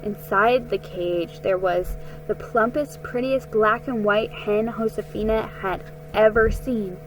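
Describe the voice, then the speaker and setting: A woman giving a dramatic, expressive reading of a children's story, with a slightly halting delivery. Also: mid-range voice, low-quality recording. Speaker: amateur story reader. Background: music under the reading.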